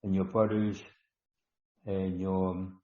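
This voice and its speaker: Low-voiced guy running a healing webinar, slow and repetitive, echoey dull call audio. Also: little pitch movement. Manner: slow, instructive, repeats words, many ums. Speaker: webinar host and healer. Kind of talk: live stream healing session.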